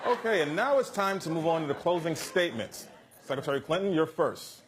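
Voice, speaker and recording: An actor playing a formal, bemused American debate moderator in a live comedy sketch, measured and straight-faced. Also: male voice, on the high side, expressive, close to an everyday voice. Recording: noisy live studio, loud audience behind.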